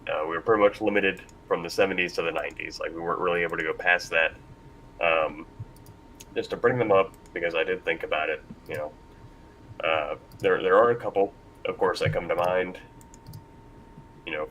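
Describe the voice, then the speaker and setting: Very low-voiced man moderating casually. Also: sharp tone, slight background noise, poor audio. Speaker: panel moderator. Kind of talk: casual unscripted panel discussion.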